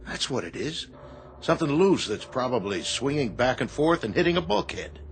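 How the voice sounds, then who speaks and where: Skeptical junior commander, played by a man: mid-pitched, lively inflection, earnest, slight noise behind. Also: moderate pace, creaky. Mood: skeptical, reasoning. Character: sensible, skeptical Navy officer under captain. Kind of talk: radio drama acting.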